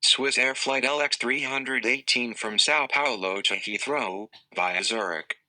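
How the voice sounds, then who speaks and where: A man's voice: mid-range voice, measured pace, pushed and bright, dry recording, poor audio. A man giving scripted pronunciation examples of news words and phrases.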